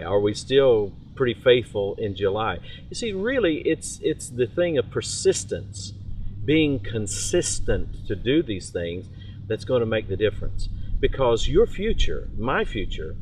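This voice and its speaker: A man's voice: on the low side, a little hiss or hum. A middle-aged man, a pastor, giving a short devotional message outdoors in a park, speaking earnestly and steadily while gesturing.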